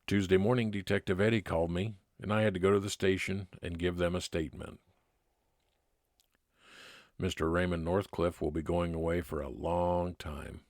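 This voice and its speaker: A man playing a kindly, laconic 1950s private detective, narrating his case in old-time radio drama style with measured, plain-spoken delivery. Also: deep voice, fairly even intonation, darkish tone, dry recording, clean studio sound. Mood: reflective. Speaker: narrator and lead actor.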